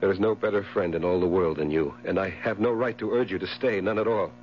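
An actor playing a tormented, haunted widower sliding into madness, overwrought and halting in a theatrical 1970s radio drama style. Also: male voice, low voice, natural voice. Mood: weary fear. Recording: muffled, noisy 1970s radio broadcast with hum.

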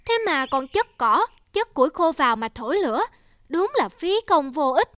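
A woman's voice: high-pitched, sing-song, normal speed, a bit bright, no room sound, studio quality. A woman voicing a blunt, know-it-all little bird in a children's cartoon, scornful and exclamatory.

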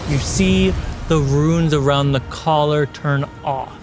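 A man runs a tabletop game as its game master. His voice sits a little high, and he narrates descriptively with some drama. Loud music plays underneath him. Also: slow. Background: prominent music score.

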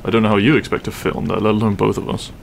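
A man playing a grumpy but tender half-dragon roommate in an intimate ASMR roleplay, gruff, sleepy and halting in a soft voice. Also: on the low side, flat delivery, a little hiss or hum. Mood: grumpy, reluctant. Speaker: roleplay voice actor. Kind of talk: intimate ASMR audio roleplay, comfort scene.

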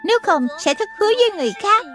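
A woman's voice: very high voice, very animated, racing. A woman voicing a small, kindly childlike guide who speaks instructively in a dubbed children's cartoon. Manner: didactic, childlike. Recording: music behind the voice.

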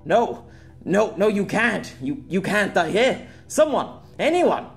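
A man's voice: high-pitched, sing-song, slow pace, gravelly, soft background music, pitched above the performer's own voice, heavily acted. A man playing a loyal young swordsman who pleads urgently with a fallen friend. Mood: frantic. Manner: pleading, frantic.

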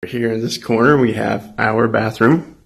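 Low, gravelly young American man. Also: steady tone, measured pace. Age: 20s. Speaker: vlogger. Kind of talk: casual vlog tour narration. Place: empty apartment rooms indoors. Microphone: handheld phone at arm's length.

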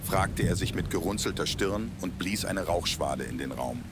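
Man, deep voice, scripted radio play narration, storyteller, noticeable ambience in the background. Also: expressive, moderate pace, heavy background noise. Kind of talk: scripted audio drama narration in German storytelling manner. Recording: heavy ambient sound effects under voice.

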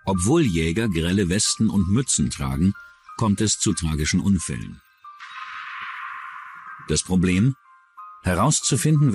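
A man narrates a true-crime documentary in a very low voice. His scripted delivery runs over faint music. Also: a little mellow.

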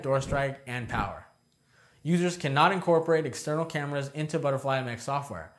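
A young adult man presenting a product overview in a scripted narration, reading out short headings in a structured, informative way. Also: a little high. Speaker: presenter of product review videos. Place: indoor studio with plain backdrop.

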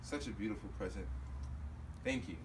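A man playing a mild, reserved writer in a stage play, measured and understated. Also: on the low side, lightly acted. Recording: very noisy distant stage recording.

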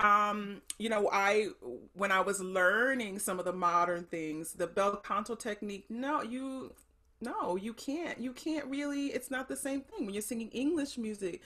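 A middle-aged opera soprano talks on a video call from her living room. She is reflective and unhurried, often breaking off and restarting as she works out what she means. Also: mid-range voice, fairly bright tone. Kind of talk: casual chat on a video call.

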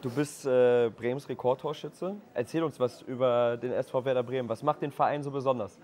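A man's voice: mid-range voice, steady tone, brisk, darkish tone. A young adult man hosting a sports show, asking casual interview questions in a friendly, chatty way with tag questions.

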